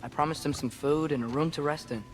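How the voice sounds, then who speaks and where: Man playing an earnest, higher-voiced teenage boy. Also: fairly even intonation, quick pace, a little hiss or hum, close to an everyday voice. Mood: eager. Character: earnest, restless teenage boy, hopeful and headstrong. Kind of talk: dramatic video game acting.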